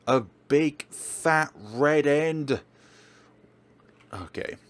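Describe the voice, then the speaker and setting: A man's voice: a little high, drawn-out words, clear, resonant tone. A man hosting a let's play, narrating and commenting on a visual novel in a theatrical, emphatic way. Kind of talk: dramatic game narration and commentary.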